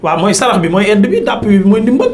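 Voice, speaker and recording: A man with a Senegalese accent and a notably high voice argues like a seasoned political commentator. He is emphatic and insistent. The recording has a clear roomy echo around him. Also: big pitch swings, fast talker. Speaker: political commentator on a talk show. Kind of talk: argumentative political debate, mixing Wolof and French.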